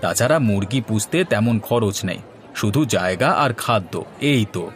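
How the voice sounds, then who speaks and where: A man's voice: on the low side, lively intonation, measured pace. A man playing a confident village schemer in a comic cartoon, talking persuasively and reassuringly over background music. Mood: eager, persuasive. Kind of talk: comic Bengali cartoon dialogue. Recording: music behind the voice.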